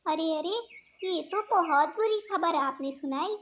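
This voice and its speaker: High-pitched earnest little female bird played by a woman. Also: unhurried, fairly bright tone. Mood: concerned, surprised. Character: kind-hearted, easily fooled little female bird. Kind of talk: Hindi cartoon voice acting.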